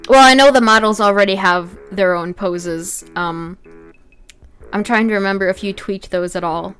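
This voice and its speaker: A woman's voice: medium pitch, moderate pace, fairly bright tone, clear, resonant tone. Casual woman over background music. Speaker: illustrator, video creator. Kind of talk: casual chat over a speedpaint.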